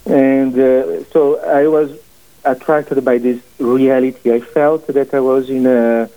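A man in his forties with a Portuguese accent, a priest guest speaking haltingly, with many fillers and restarts, on a radio interview. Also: medium pitch, fairly even intonation. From family from Portugal. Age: 40s. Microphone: phone call into studio. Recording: phone line.

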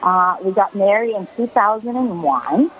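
A woman's voice: mid-range voice, expressive, normal speed, fairly bright tone, low-quality recording. A woman in her forties from Brazil, a guest on a radio call-in interview, telling her story earnestly with many fillers. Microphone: phone call.

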